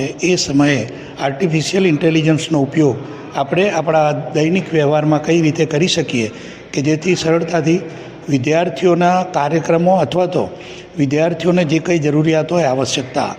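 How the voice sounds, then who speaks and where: A university official, a man, gives a formal statement. His voice is a little high, with long reverb and faint noise around it. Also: a little mellow. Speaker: university vice-chancellor. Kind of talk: formal spoken statement to press. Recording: reverberant hall with background noise.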